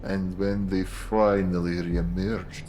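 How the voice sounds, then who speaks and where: An actor playing a grim, insinuating police detective in a noir audio drama, with a deliberate, sly, theatrical delivery. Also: male voice, sits low, flat delivery. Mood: ominous. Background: music.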